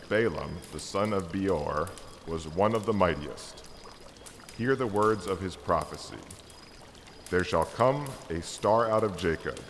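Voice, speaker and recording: Devout, hopeful Magus male reader, low-pitched, earnest and solemn, dramatic Christmas story reading, echoing hall. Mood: solemn, prophetic. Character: Artaban, devout, hopeful Persian Magus and stargazer. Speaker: amateur church reader. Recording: echoey hall reverb with background noise.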